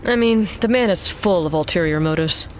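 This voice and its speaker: An actress playing a tough, sardonic robot pilot in a science fiction audio drama, talking in a dry, blunt, casual manner. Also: female voice, lowish voice, a little fast, a little hiss or hum, lightly acted. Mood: wry, matter-of-fact.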